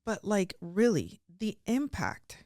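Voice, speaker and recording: A woman's voice: medium pitch, big pitch swings, slow, darkish tone, no room sound, clean studio sound. Gushing young woman podcast host. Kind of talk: casual interview chat.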